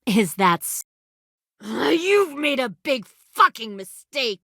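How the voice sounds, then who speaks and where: A woman's voice: high voice, very animated, gravelly, sharp tone, no room sound, studio quality, heavily acted. A woman playing a ruthless, menacing middle-aged female militia leader in a zombie video game, with an American accent and a controlled, condescending way of speaking. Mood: angry, taunting. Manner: controlled, condescending, deliberate threats. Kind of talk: dramatic video game voice acting.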